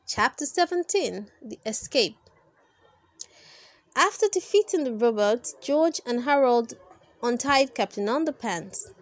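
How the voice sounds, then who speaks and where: Animated woman reading a children's story, slow-paced. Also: fairly high pitch, no echo. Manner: dramatic, expressive delivery with drawn-out emphasis. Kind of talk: animated read-aloud narration for kids.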